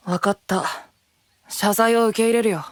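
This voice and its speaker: A man playing a guarded, sharp-tongued young knight in an anime-style voice drama, speaking bluntly. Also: high voice, steady tone, moderate pace, no room sound. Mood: cool, guarded. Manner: blunt, accusing. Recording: music under the voice.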